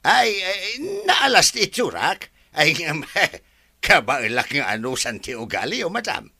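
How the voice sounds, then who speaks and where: A man's voice: on the high side, sing-song, unhurried, sharp tone, breathy edge, dry recording, full character voice. An actor playing a joking, flirty middle-aged driver in a radio drama, laughing often as he teases. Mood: flustered joking.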